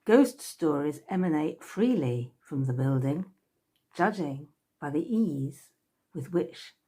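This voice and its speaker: A woman reading a scripted narration aloud in a measured, storytelling way. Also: on the low side, warm tone, slightly rough. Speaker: author reading from own book.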